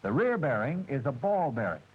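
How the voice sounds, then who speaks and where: A man narrating a 1940s military training film, with a precise, measured and formal delivery, heard through an old film soundtrack. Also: mid-range voice, sing-song, husky, phone-quality sound. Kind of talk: scripted instructional narration.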